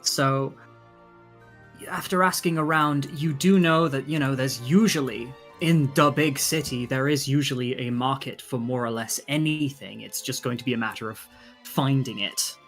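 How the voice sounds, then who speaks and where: A man's voice: medium pitch, normal speed, fairly bright tone. A man running a tabletop game as game master, casually narrating and ruling on rolls, thinking aloud as he goes. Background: faint music.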